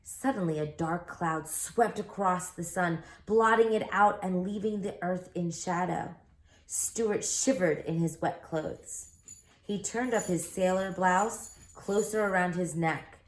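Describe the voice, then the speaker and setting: A woman reading a children's book aloud as an expressive storyteller, recorded in an echoey room. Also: fairly low pitch, a little slow.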